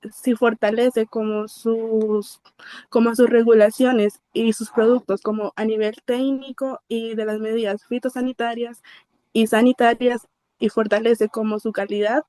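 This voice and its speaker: A woman in the audience asking a question, halting and stammering, with many fillers. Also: a little high, warm tone, no room sound.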